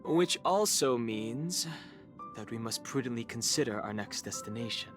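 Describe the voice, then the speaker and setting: A man's voice: mid-range voice, lively intonation, normal speed, lightly acted. Guy voicing serious young game warrior, mid voice, sober and guarded, faint music behind. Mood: grave. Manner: formal, restrained, sober. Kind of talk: video game voice acting.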